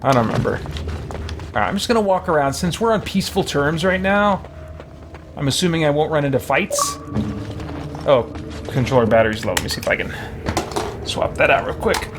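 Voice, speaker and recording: A man's voice: fairly high pitch, lively intonation, normal speed, long pauses, background noise. A man streaming a game, chatting casually and thinking aloud as he plays. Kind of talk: casual live game commentary. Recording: game music behind the voice.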